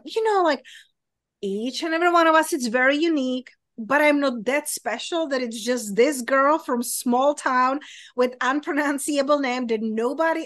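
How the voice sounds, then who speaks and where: A woman's voice: sits high, fairly bright tone, no echo. A woman with a Czech accent, a guest coach talking at length in a casual interview, full of "like" and "you know". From Czech Republic. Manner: talkative, many "like" and "you know" fillers.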